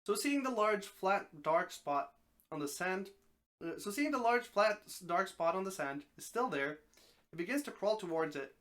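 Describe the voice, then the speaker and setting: Expressive story narrator with a mid-range voice. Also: medium pitch, moderate pace. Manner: expressive narration, occasional stumbles and repeated phrases. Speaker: streamer reading a story aloud. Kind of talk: live dramatic story reading.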